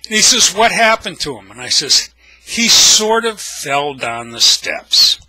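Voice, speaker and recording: A man's voice: on the high side, big pitch swings, a little slow, pushed and bright, no echo, low-quality recording. An older man with an American accent, telling anecdotes casually in a blunt, wry, salty way. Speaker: storyteller, video blogger.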